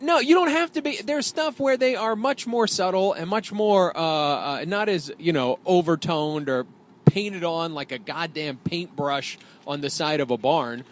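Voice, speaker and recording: Rambling male co-host, slightly high. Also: measured pace. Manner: rambling, heated when riled, many fillers. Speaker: podcast co-host, wrestling trainer. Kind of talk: casual banter while driving. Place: inside a car.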